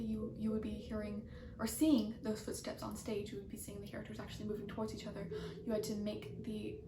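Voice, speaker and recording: Distant, echoey teenage girl. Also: on the high side. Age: teens. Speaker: student actor. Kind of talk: casual interview answer. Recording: distant, echoey, clearly noisy.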